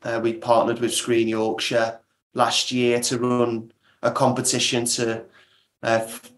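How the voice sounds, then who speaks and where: A man's voice: mid-range voice, monotone, a little slow. A man speaking as an interview guest, explaining his work as a producer in casual talk. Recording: roomy, echoey sound.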